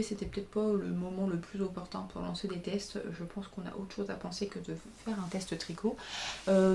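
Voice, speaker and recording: A woman's voice: medium pitch, darkish tone. A tired woman in her thirties chatting casually for her crafting podcast, hesitant with many fillers while showing her work to the camera. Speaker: knitting pattern designer and podcaster. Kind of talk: casual video podcast chat.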